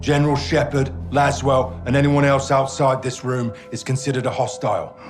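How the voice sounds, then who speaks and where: An actor playing a terse, calm special forces lieutenant who gives commands. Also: male voice, medium pitch, normal speed, natural voice. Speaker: squad lieutenant. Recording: music score behind.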